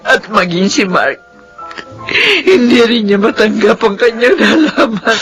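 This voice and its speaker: A woman's voice: on the high side, lively intonation, unhurried, soft background music, low-quality recording. A woman playing an elderly, tender, guilt-ridden grandmother in a Filipino radio drama. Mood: grave, upset.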